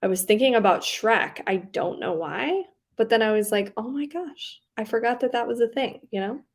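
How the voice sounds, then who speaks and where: A woman's voice: on the high side, moderate pace. Giggly young woman rambling casually. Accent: slight Southern US on some words. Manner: rambling, giggly, lots of likes and ums. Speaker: booktube livestream host. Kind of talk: casual chat reading live comments.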